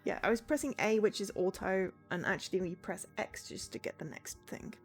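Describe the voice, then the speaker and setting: A woman's voice: medium pitch, fairly quick, faint music behind. A woman game streamer chatting live and reading game text aloud, breaking off for exasperated asides.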